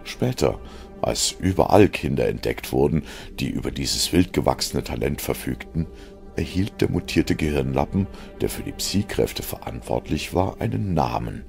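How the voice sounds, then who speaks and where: Man, dramatic storyteller, gravelly fry, music behind, science fiction narration. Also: a bass voice, animated, normal speed, darkish tone.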